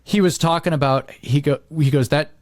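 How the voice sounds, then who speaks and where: A man's voice: fairly high pitch, brisk, no echo, studio quality. A middle-aged American man chatting casually as a podcast host, rambling and leaning on "like" as he acts out little quotes. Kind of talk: casual chat.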